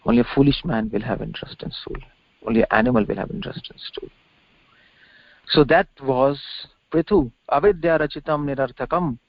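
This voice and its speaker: A man's voice: mid-range voice, a little fast, creaky, dry recording. A middle-aged man lecturing on scripture off the cuff as a religious teacher, emphatic, repeating phrases and posing rhetorical questions. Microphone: conference call. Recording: dull lo-fi conference-call audio.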